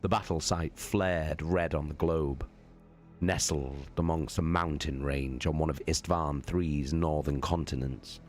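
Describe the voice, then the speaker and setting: A man reading science fiction prose aloud as dramatic narration, solemn and deliberate. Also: very deep voice, fairly even intonation, faint music behind. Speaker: audiobook-style reader, streamer.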